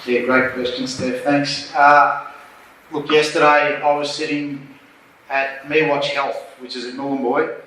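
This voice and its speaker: A man's voice: mid-range voice, little pitch movement, unhurried, sharp tone. An Australian man answering on a conference panel, blunt, plain-spoken and urging. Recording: echoey hall, distant mic, faint noise.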